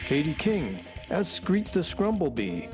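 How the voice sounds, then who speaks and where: Male announcer, scripted credits, lively intonation, mid-range voice, music behind. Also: on the high side, unhurried.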